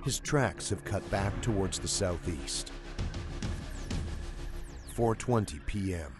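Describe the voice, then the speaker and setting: A man reading scripted documentary narration in a measured, storytelling way. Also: low voice, lively intonation. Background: music.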